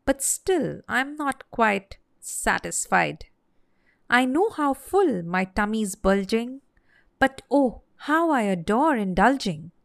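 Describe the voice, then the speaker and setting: A greedy storybook wolf acted out by a woman reader, menacing and pleased with himself. The rhymes come slowly and deliberately, in a close, dry recording. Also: fairly high pitch, sing-song, vocal fry, a bit bright, dry recording, full character voice. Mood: gloating. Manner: gloating, menacing, declaiming in rhyme. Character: greedy, boastful male wolf hunting pigs.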